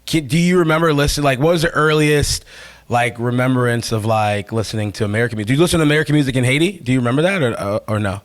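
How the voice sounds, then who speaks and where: A man's voice: mid-range voice, very fast. A young man hosting a casual interview, laid-back and chatty, full of "like" and "you know". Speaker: podcast host.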